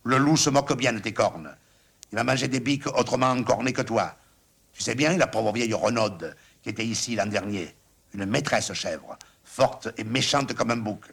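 A man voicing an anxious, fretful old farmer who exclaims and scolds in a raised, husky voice. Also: mid-range voice, moderate pace, fairly bright tone, pitched above the performer's own voice. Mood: pleading, scolding.

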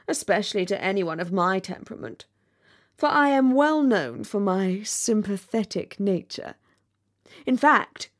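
A woman voicing a vain, pompous male rocket in a storybook, haughty and easily offended. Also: medium pitch. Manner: pompous, haughty, self-important. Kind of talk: storybook character voice.

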